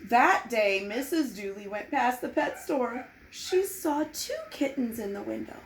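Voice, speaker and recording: Slow, high-pitched woman reading a children's story. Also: animated, low-quality recording. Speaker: storybook reader. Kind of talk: expressive children's read-aloud. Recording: noticeable background noise.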